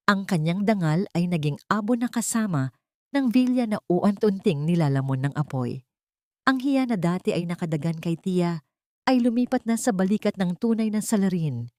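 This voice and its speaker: A woman's voice: on the low side, darkish tone, dry recording, studio quality. A woman narrating a melodrama audiobook in a dramatic, emotive storytelling manner.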